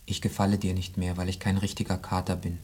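A man's voice: sits low, monotone, fast talker, breathy edge. A man playing a needy, insecure young male cat in a radio drama, plaintive and pleading. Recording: loud music underneath.

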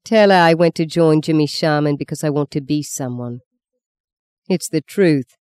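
A man's voice: a little high, normal speed, a little mellow, smooth, lightly acted. A narrator as a bitter young man. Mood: empty, resigned. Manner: mocking, embittered. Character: bitter, scornful, wounded young man defying his father.

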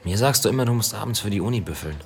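An actor playing a wry, curious colleague in a radio drama, asking short, probing questions. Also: male voice, fairly low pitch, animated, rapid-fire, vocal fry, slight background noise. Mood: piqued. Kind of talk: German radio drama acting.

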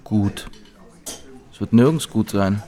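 A man's voice: on the low side, takes it slowly, dark tone. A man acting a weary refugee in a radio play, speaking tersely with matter-of-fact resignation. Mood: resigned, bitter. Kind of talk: German radio drama dialogue.

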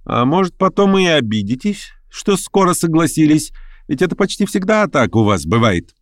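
Male narrator as fervent agitator, raised pitch, swooping intonation, commanding, mocking, impatient, audiobook drama. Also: sing-song, normal speed, no room sound, studio quality. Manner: sharp, commanding, goading oratory. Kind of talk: acted audiobook dialogue.

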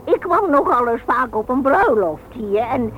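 Feisty old aunt, very noisy recording. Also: female voice, high-pitched, sing-song, measured pace, fairly bright tone, exaggerated voice. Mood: friendly, chatty. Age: older. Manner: blunt, folksy, jocular. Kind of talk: radio drama acting. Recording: noisy, dull old radio play recording.

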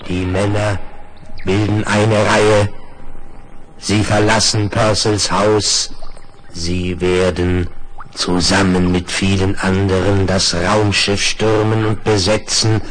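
A man's voice: fairly low pitch, low-quality recording. A man playing a megalomaniac alien plasma intelligence in a 1970s radio play, declaiming solemnly with menace. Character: megalomaniac alien plasma hive-mind, cold and triumphant.

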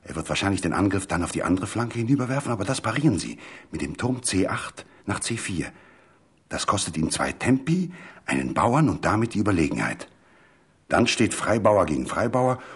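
A man voicing an intense middle-aged gentleman, a chess expert who speaks hastily and precisely in a literary radio reading. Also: deep voice, sing-song, a little fast, husky, exaggerated voice. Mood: hasty, insistent. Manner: hasty, precise, urgent.

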